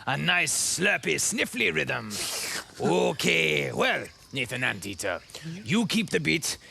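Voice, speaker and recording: A man's voice: a little high, sing-song, slow pace, creaky, pushed and bright, slight background noise, full character voice. A man voicing a jolly howler monkey who leads a choir, chuckling and singing cheerfully in a children's show. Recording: music bed behind.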